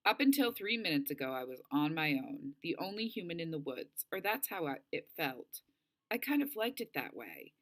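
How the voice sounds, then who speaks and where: A woman reading a novel aloud as narration, with expressive, dramatic storytelling. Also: deep voice, moderate pace. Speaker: audiobook-style reader.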